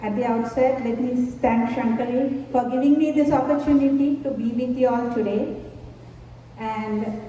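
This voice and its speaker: A woman from Sri Lanka giving a devotional talk to a gathering, slow, measured and reverent. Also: on the high side, fairly even intonation, mellow. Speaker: invited speaker at a devotional gathering. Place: large hall. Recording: echoey hall from far off, dull, faint hiss.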